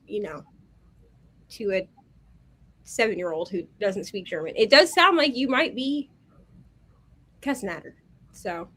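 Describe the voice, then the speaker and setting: A woman with a high, bright-toned voice tells stories in an animated, chatty way, filling her sentences with "like." She co-hosts a relaxed conversation over a video call. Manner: animated storyteller, heavy on "like" fillers. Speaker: co-host. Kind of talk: casual chat on a video call.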